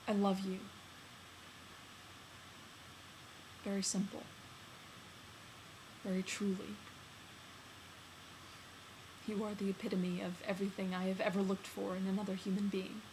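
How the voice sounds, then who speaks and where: A woman plays a lovesick man baring his feelings to a close friend in a dramatic monologue. The delivery is earnest and halting, swelling into emphasis, at a medium pitch. There is some noise in the recording. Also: completely flat delivery, close to an everyday voice. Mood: pleading, tender. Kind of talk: dramatic film monologue performed on stage. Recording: noticeable background noise.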